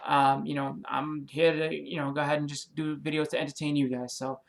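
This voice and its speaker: A man's voice: a little high, flat delivery, fairly quick, gravelly, a little hiss or hum. A man with a Boston accent, rambling through a casual vlog with many ums and you-knows, friendly and apologetic as he thanks new subscribers. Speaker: comic and movie YouTuber.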